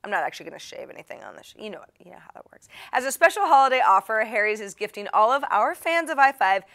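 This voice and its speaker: A woman's voice: on the high side, sing-song, quick pace, fairly bright tone. A woman hosting a show, talking to her audience in a chatty, playful, wry way that is part scripted and part casual. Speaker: host of a tech tips show.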